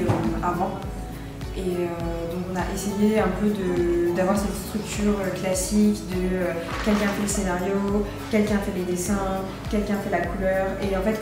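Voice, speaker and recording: Jeune femme, voix lointaine très réverbérée. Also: female voice, medium pitch, little pitch movement, moderate pace. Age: young adult. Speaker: student comic creator. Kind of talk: unscripted interview answer. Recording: echoey room, distant mic, music behind.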